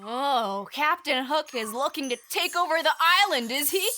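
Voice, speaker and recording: A woman's voice: high-pitched, normal speed, sharp tone. An actress playing a mischievous, brash young boy who taunts and leads his gang in an audio drama. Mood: teasing, cocky.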